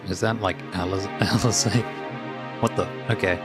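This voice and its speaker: A man's voice: deep voice, steady tone, moderate pace. A man streaming a game, giving terse, irreverent, off-the-cuff reactions in casual, sweary talk. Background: loud game music.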